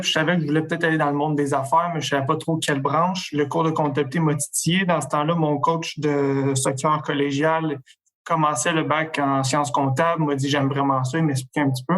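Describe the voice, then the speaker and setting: Young Québécois male guest, slightly higher voice, relaxed and steady. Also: fairly quick. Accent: Québécois French. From Quebec. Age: young adult. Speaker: accounting student guest. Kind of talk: casual interview answers.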